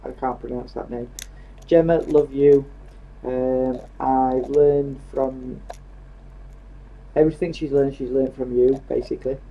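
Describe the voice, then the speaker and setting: A British man co-hosts a live stream, reading out comments in an informal, offhand way. His voice is fairly high for a man and the recording is slightly dull and lo-fi. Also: steady tone, unhurried, vocal fry. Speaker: co-host reading out viewer comments. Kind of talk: casual live stream chat. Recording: dull lo-fi sound.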